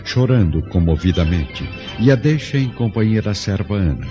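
A man's voice: fairly low pitch, lively intonation, normal speed. A man delivering scripted, dramatic narration for a radio drama. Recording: dull lo-fi recording, music behind.

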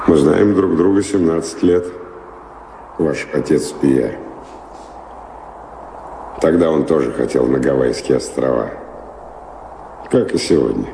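An actor playing a weary, dreamy wandering adventurer in a radio drama, musing and halting in a poetic way. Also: male voice, very deep voice, vocal fry, warm tone, full character voice. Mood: shaken, wistful. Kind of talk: theatrical radio drama acting. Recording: roomy reverberant, noisy old radio play recording.

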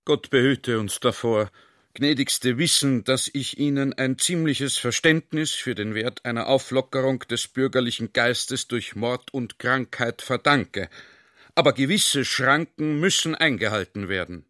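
Actor playing a courtly, cautious general. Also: male voice, mid-range voice, measured pace, a bit bright, no room sound, clean studio sound, natural voice. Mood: cautious, polite. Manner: formal, gallant, old-fashioned courtesy. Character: courtly middle-aged army general, deferential and cautious. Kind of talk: radio play acting.

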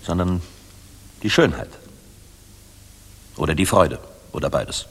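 An actor playing a dashing, world-weary middle-aged air force general in a 1960s German radio play, musing and commanding. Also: male voice, sits low, expressive, slow pace, creaky, a bit bright, breathy edge, full character voice. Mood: musing, earnest. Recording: reverberant 1960s mono radio recording.

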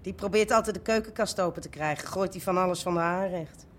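A woman's voice: on the low side, quick pace, close to an everyday voice. A woman playing a guarded, dryly evasive woman in a radio drama, giving curt answers. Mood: defiant, sarcastic. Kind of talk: naturalistic radio drama acting.

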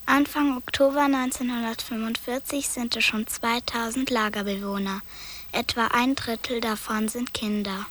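A woman narrates documentary facts and numbers in a somewhat high voice. Her delivery is factual and scripted. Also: fairly even intonation, moderate pace, fairly bright tone. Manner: factual, reporting figures.

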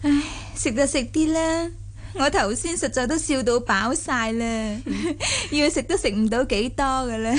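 An actress playing a sharp-witted young mistress of a noble house in a radio drama, playful and glib. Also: female voice, high voice, animated, measured pace. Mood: mock flattery. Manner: playful, glib, mock-solicitous.

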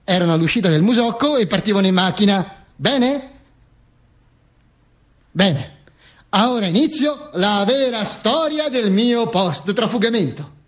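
A man's voice: sits high, expressive, measured pace. A man performing a theatrical comic monologue as a dead man telling his own story, mock-serious and emphatic, with repetitions and asides. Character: Mussolini's corpse narrating its own misadventures with ironic wit. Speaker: stage actor and monologist.

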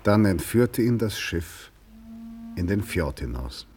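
An actor narrating a literary mystery tale in a 1950s radio play, measured and deliberate. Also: male voice, on the low side, big pitch swings, a little mellow, faint music behind. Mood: measured storytelling. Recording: 1959 radio studio recording.

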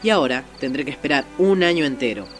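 A man's voice: medium pitch, expressive, fast, close to an everyday voice. A proud, earnest boy hunter from a fantasy tribe, played by a man, speaks. His delivery is declamatory radio theatre, over faint music. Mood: indignant pride. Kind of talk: radio drama acting.

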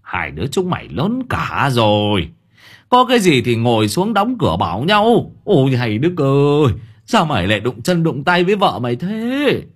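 A man's voice: medium pitch, huge pitch swings, normal speed, breathy edge, pitched above the performer's own voice. A man playing a scolding older father. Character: scolding older father, stern but pleading.

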